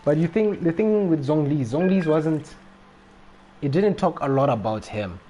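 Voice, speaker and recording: A man's voice: a little high, animated, normal speed, dark tone, slight background noise, poor audio. A man chatting casually on a gaming livestream, rambling and repeating himself as he goes. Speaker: live streamer.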